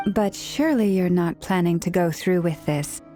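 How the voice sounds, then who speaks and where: A woman playing an earnest, cautious young adventurer in a video game, speaking gently. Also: lowish voice, normal speed, soft background music, lightly acted. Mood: concerned, earnest. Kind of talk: video game character dialogue.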